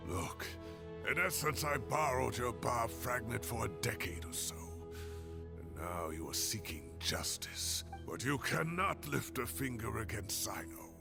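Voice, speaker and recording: An actor playing an older male scholar in a game, speaking slowly and earnestly. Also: medium pitch, sing-song, creaky, over music. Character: older male scholar, defiant former researcher.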